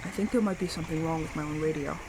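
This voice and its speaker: A woman's voice: sits low, racing. A woman playing a thoughtful adult survivor sending radio messages in an audio drama, musing and hesitant in an understated way. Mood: puzzled, pensive. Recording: clearly noisy radio transmission with ambience.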